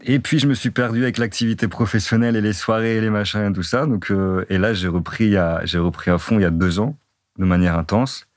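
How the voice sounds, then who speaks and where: A young man from northern France, chatting casually in an interview, relaxed and repetitive with many fillers. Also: on the low side, a little fast, dry recording, studio quality. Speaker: dating-profile guest.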